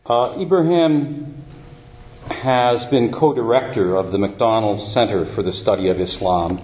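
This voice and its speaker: A man hosting a university evening talk introduces the guest in a halting, formal way, with plenty of fillers. His voice is lowish and warm, and the recording carries a roomy echo. The sound is a bit muffled. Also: a little hiss or hum. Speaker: event host introducing a speaker. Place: university lecture room. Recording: roomy, dull lecture-hall recording.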